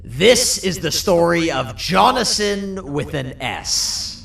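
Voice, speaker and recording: A man playing a melodramatic, scheming trickster in a video game, with theatrical, drawn-out delivery. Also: a little high, very animated, vocal fry, fairly bright tone, slight background noise, full character voice. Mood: grand storytelling. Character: melodramatic, scheming, vengeful trickster putting on a stage play. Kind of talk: video game character acting. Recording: echoey, distant from the mic.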